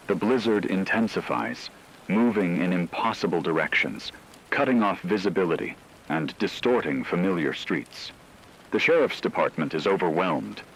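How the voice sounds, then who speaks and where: A man's voice: low-pitched, gravelly, a bit bright, a little hiss or hum, heavily acted, poor audio. A man playing a grave radio news anchor, reading a horror broadcast in a solemn, formal, ominous way. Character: grave male radio news anchor reporting supernatural terror.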